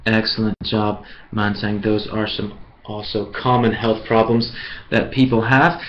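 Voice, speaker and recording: Man from the USA, online English teacher, measured and clear, slightly dull sound. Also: on the low side, little pitch movement, a little hiss or hum. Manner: slow, clear, encouraging teacher talk.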